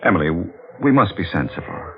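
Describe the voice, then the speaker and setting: An actor playing an earnest, gentlemanly young suitor in a 1940s radio drama, restrained and formal. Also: male voice, deep voice, very animated, fairly quick, vocal fry, heavily acted. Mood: earnest, regretful. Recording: muffled, noisy 1940s radio recording.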